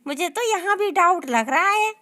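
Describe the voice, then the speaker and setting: A woman's voice: very high voice, animated, bright, forceful tone, heavily acted. A woman voicing a greedy, quarrelsome new bride who nags and complains in a comic sketch. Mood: nagging. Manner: nagging, dramatic, quick to complain.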